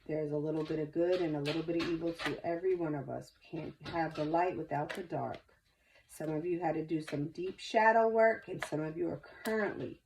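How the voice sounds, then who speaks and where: A woman's voice: fairly low pitch, a little mellow, a little hiss or hum, rough recording. A congested woman giving a chatty, reassuring tarot reading, stuffy from a cold. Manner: chatty, reassuring, repeats herself. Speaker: tarot reader. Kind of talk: casual spoken tarot reading.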